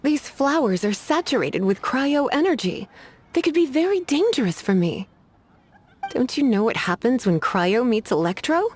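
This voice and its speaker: A woman's voice: high voice, very animated, moderate pace, a little mellow, husky, heavily acted. A woman voicing a flirtatious, teasing witch librarian in an anime game, with a coy, sultry manner. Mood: scolding, teasing.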